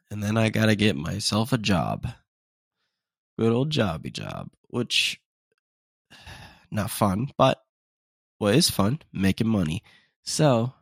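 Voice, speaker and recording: A man's voice: lowish voice, creaky, husky, dry recording, studio quality. A young man hosting a casual solo podcast, sounding congested and sniffly as he chats in a rambling, self-deprecating way. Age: young adult. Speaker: podcast host.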